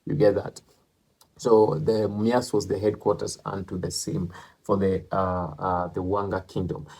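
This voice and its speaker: A man from Kenya with a Luhya-flavoured Kenyan accent, talking casually about history, rambling, repeating himself and checking that listeners follow. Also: on the low side. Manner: rambling, repeats and restarts, asks listeners "you get that?". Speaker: channel host.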